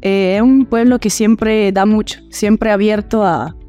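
A woman's voice: mid-range voice, fairly even intonation, measured pace, dark tone, soft background music. A woman from Italy, a university teacher answering interview questions in a hesitant way, with many filler sounds.

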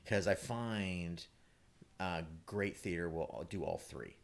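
A man chatting as a playwright guest on a panel, in a halting, rambling, conversational way. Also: deep voice, very animated, vocal fry. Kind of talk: casual panel discussion.

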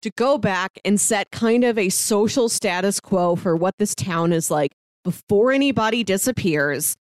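A woman's voice: medium pitch, normal speed, no echo. Enthusiastic woman chatting casually, dry close sound. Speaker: podcast co-host. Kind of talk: casual discussion of a TV drama.

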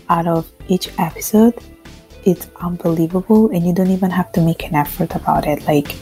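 A woman's voice: on the low side, dark tone. A woman from Italy answering interview questions as a podcast guest in a conversational way. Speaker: podcast guest working in finance.

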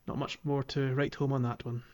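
A man's voice: medium pitch, level tone, a little fast, darkish tone. Easygoing man co-hosting a podcast. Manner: easygoing, agreeable, chuckles, uses fillers. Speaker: podcast co-host. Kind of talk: casual chat about a video game.

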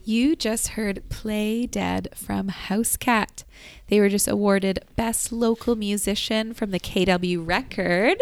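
A woman's voice: medium pitch, steady tone, takes it slowly. A woman hosting a radio show in a casual, upbeat and appreciative chatty style. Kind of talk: casual chat.

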